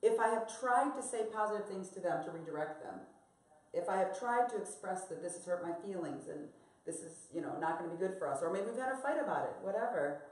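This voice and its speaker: Casting: American woman, lowish voice, candid and reflective, panel guest, in a very echoey room. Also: animated, fast talker. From United States. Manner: candid, reflective, repeats her own mantra. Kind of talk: live panel discussion.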